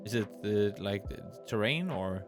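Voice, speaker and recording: A man's voice: fairly low pitch, lively intonation, normal speed, over music. A man hosting a casual interview, reading viewer questions and giving friendly, affirming replies. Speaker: host.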